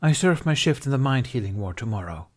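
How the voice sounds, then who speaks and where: Man acting stern alien woman healer, audiobook character, blunt, scolding, exasperated, lifted pitch. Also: big pitch swings, a little fast. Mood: brisk, firm.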